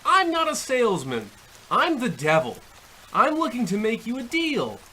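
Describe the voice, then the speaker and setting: A man playing a wheedling, impatient devil who pitches deals like a salesman, in theatrical old-time radio comedy style, with a raspy voice. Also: wildly swooping pitch, normal speed, bright, forceful tone, slight background noise, full character voice. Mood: impatient, businesslike. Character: fast-talking devil pitching a soul deal, impatient salesman.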